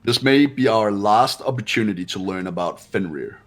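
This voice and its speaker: A man's voice: lowish voice, animated, normal speed, fairly bright tone. A man playing a haughty, cool-headed young man with a curt, condescending manner in a fan-dubbed game. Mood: composed. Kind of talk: fan-dubbed visual novel game acting.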